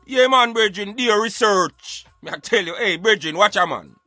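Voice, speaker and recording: A man's voice: sits high, moderate pace, no room sound, soft background music. A man with a Jamaican accent talking casually and emphatically as a radio host, giving his opinions and addressing listeners like friends. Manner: animated, emphatic, repeatedly addressing listeners as brethren.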